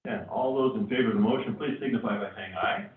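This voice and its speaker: A man chairing a city council meeting as mayor, reading motions and calling votes in a procedural way. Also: medium pitch. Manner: procedural, brisk. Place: council chamber. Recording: tinny, echoey chamber from far off.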